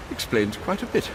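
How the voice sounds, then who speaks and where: An actor playing a loyal manservant in a video game, formal and dry, with a concerned, measured delivery. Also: male voice, noisy background.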